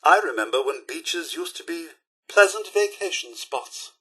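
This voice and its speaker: An actor playing a polite, fussy, sardonic robot butler in a video game, with a British accent and a prim, theatrical, dryly sarcastic manner. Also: male voice, a little high, lively intonation, unhurried, bright, forceful tone, slightly rough, exaggerated voice. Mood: wistful, concerned. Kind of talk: scripted game companion dialogue.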